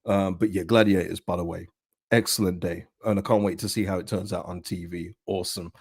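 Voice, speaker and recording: A man with a slightly husky voice, hosting a casual football fan commentary. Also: low-pitched, steady tone, a little fast, darkish tone, dry recording, studio quality. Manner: chatty, rambling, with frequent restarts and laughs. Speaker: football channel host.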